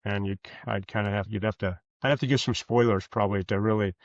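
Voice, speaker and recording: Low-pitched man, creaky voice, hesitant conversational interview guest, self-correcting, dry, slightly muffled lo-fi recording. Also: fairly even intonation, fast, no echo. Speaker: guest author.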